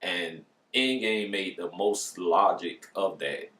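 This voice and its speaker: A man with a deep voice reviews a movie in a casual vlog style. His talk is slangy and informal, with plenty of profanity. The sound is noticeably roomy and echoey. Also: slow pace, fairly bright tone.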